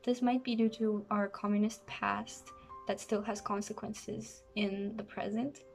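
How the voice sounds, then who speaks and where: Young Romanian woman, steady and flat. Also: medium pitch, unhurried, creaky, soft background music. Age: young adult. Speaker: interviewee. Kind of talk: prepared video statement. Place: room indoors.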